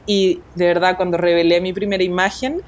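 A woman's voice: lowish voice, flat delivery, brisk. Reflective woman telling her story. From Colombia. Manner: reflective, warm storytelling with many restarts. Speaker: guest photographer. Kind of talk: conversational interview.

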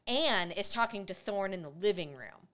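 A woman chatting casually as she recaps a film with a co-host, laughing often and slipping into quick put-on lines for the characters. Her voice sits at an ordinary middle pitch. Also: lively intonation, fairly bright tone. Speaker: podcast co-host. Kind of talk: casual movie recap chat.

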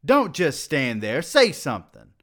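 A man voicing an older male college president who mocks and then shouts in outrage. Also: on the low side, very animated, slow pace, full character voice. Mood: furious.

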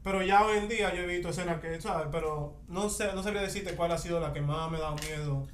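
A man's voice: fairly high pitch, fast talker, slight background noise. A man with a Dominican accent chatting casually on a podcast, enthusiastic and full of slang. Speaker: podcast co-host. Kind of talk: casual chat. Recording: echoey room.

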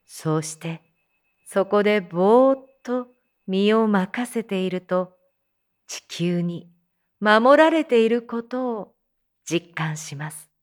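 Very slow, soothing meditation teacher acted by a woman. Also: medium pitch, animated. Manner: hushed, measured counting and gentle instructions. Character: soothing female teacher leading meditation amid zombie threat. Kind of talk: slow guided meditation in a voice drama.

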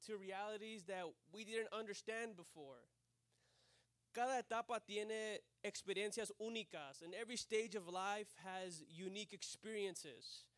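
High-voiced man preaching in two languages. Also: a bit bright, no room sound. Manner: switches between Spanish and English, frequent amens. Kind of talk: bilingual sermon, translating himself line by line. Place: church service.

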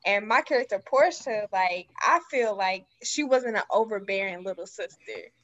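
A woman's voice: mid-range voice, animated, moderate pace, fairly bright tone, muffled recording. A woman being interviewed as a cast member, talking casually. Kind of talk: casual chat.

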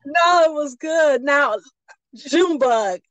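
A woman's voice: high voice, slow pace, fairly bright tone, dry recording, rough recording. A middle-aged woman with an American accent, hosting a conversational radio interview. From Pontiac, Michigan, United States. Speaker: radio show host.

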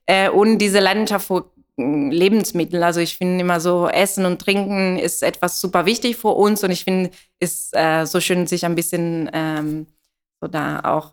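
Smooth, lower-voiced Italian woman. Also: fairly even intonation, moderate pace. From Italy. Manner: hesitant, searching for words in a non-native language. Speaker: interview guest, coffee business founder. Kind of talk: conversational interview answers.

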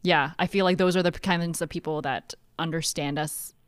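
A woman's voice: low voice, steady tone, normal speed, smooth, dry recording, studio quality. A young woman from Hong Kong co-hosting a podcast, chatting casually and telling stories with lots of "like". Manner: chatty, heavy use of "like", storytelling. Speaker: podcast co-host.

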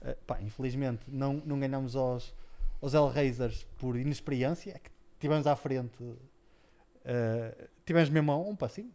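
A man's voice: mid-range voice, moderate pace, warm tone. A man from Portugal with a European Portuguese accent, telling stories in casual chat as a podcast guest, animatedly and with many repetitions. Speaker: podcast guest, former esports coach.

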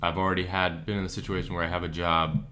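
A man's voice: deep voice, flat delivery, fast talker, pushed and bright. A man in his twenties co-hosting a podcast, chatting casually about a TV show in a hesitant way that keeps trailing off. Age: 20s. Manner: hesitant, trails off, many fillers and agreeing "yeah"s. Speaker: podcast co-host.

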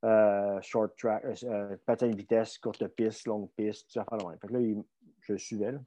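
A man's voice: on the low side, level tone, moderate pace, mellow. A middle-aged man with a Québécois accent, talking casually and bluntly, rambling with many fillers and restarts as he recounts his coaching career. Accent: Québécois French. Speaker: strength coach guest. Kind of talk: casual conversational storytelling.

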